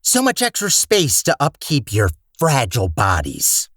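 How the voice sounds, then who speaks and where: Sarcastic, wildly animated robot villain. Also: male voice, mid-range voice, a little slow, dry recording, clean studio sound, exaggerated voice. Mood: condescending, chatty. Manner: sarcastic, theatrical, condescending. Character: talkative male robot personality core, petty, sarcastic, scheming villain. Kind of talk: video game character acting.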